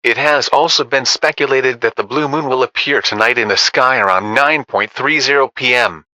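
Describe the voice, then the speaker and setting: A bright, crisp synthetic man's voice reads the news as an anchor. The delivery is formal and measured, recorded completely dry. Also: medium pitch, no echo, low-quality recording. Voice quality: synthetic text-to-speech voice. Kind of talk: scripted news report.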